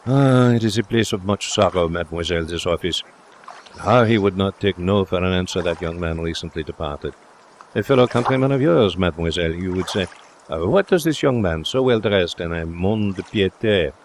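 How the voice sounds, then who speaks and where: A man's voice: low-pitched, normal speed, a little hiss or hum. Man playing a lamenting pawnshop official. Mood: mournful, confiding. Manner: theatrical, lamenting, rhetorical. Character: sighing, talkative French male pawnshop official, world-weary and sympathetic.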